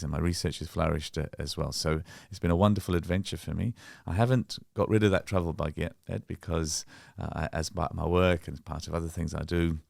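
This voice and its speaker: A middle-aged man, born in Bangladesh and raised in England, talking through his story as a guest in a relaxed, friendly interview. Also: sits low, vocal fry, no room sound, studio quality. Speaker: guest, sports science professor.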